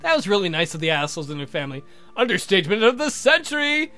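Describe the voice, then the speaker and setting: A man's voice: sits high, big pitch swings, quick pace, sharp tone, soft background music. A man hosting a let's play with casual, joking, sweary commentary. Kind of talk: casual game playthrough commentary and narration.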